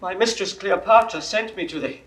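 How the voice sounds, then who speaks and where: An actor playing an urgent male messenger servant in a 1960s verse drama, reporting in a hurried, breathless way. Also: high-pitched, a bit bright, roomy sound, rough recording.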